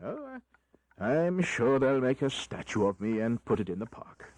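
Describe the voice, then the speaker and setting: A man's voice: medium pitch, huge pitch swings, moderate pace, a little mellow. A man playing a weary, bitterly ironic in a history audio drama, with a theatrical, wry manner. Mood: wry, resigned. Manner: theatrical, wry, deferential to the king.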